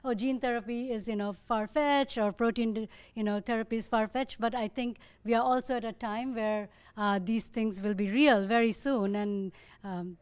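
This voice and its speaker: A woman with an Indian accent, a researcher speaking thoughtfully on a panel and often pausing to find her words. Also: a little high, steady tone, darkish tone, clean tone. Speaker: muscle disease researcher.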